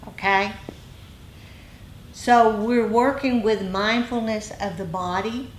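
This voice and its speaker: A woman teacher speaks at a slow pace in a reverberant room. She explains informally, pausing as she looks for words, with faint noise behind her. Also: medium pitch. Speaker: dharma teacher. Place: meditation group meeting room.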